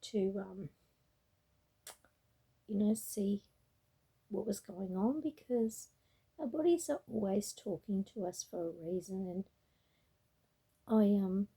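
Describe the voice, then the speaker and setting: A tired woman with a raspy voice, rambling casually in a late-night crochet vlog, full of ums and restarts. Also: medium pitch, slow pace, long pauses, mellow. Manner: meandering, with frequent ums and restarts. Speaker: crochet vlogger. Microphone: tablet camera.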